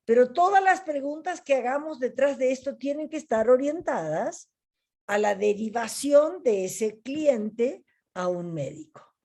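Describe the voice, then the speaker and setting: A woman from Argentina with an Argentine accent, leading an online coaching ethics class in a deliberate teaching manner. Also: sits high, lively intonation, a little mellow. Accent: Argentine Spanish. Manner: slow, deliberate teaching with pauses.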